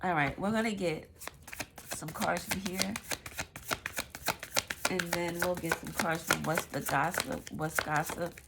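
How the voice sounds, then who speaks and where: A woman's voice: on the low side, steady tone, takes it slowly, gravelly, pauses often, heavy background noise. A woman with a stuffy nose giving a casual, rambling tarot reading, swearing now and then. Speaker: tarot reader.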